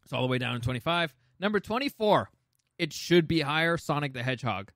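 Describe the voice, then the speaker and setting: Higher-voiced man, opinionated podcast co-host. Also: expressive, brisk, a bit bright, no echo, clean studio sound. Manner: opinionated, swearing, stammering restarts. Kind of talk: casual chat ranking movies.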